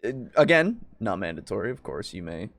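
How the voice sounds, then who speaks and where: A man's voice: on the low side, big pitch swings, a little fast, vocal fry. A man hosting a podcast in casual chat, with a playful, jokey manner. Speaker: podcast host. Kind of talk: casual chat, reading out comments.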